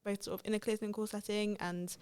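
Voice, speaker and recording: Fast-talking chatty woman podcast host. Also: mid-range voice, little pitch movement, a little mellow, clear, resonant tone. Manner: chatty, enthusiastic, quick back-channel yeahs. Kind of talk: casual interview chat.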